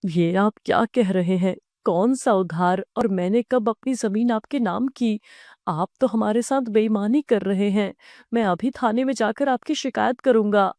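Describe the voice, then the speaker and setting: Woman as humble male farmer, earnest, pleading, cartoon moral story, mid pitch, dry sound. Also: a little slow, darkish tone, no room sound, close to an everyday voice. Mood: indignant.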